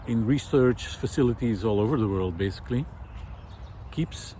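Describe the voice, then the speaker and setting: A man talks his thoughts through while walking across a park lawn, with a mid-pitched voice. He is reflective and unhurried, often stopping to search for the next word. A faint hum of outdoor sound sits behind him. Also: a little mellow. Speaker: video essayist. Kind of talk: musing walk-and-talk monologue. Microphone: handheld camera while walking.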